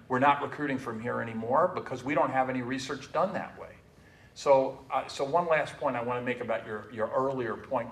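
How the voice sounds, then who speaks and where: American guy in his 60s making his case on a panel, mid pitch, echoey room, bit far from mic. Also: creaky, a bit bright. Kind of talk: panel discussion, persuasive argument. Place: meeting room, indoors. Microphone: tabletop stand microphone. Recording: echoey room, distant microphone.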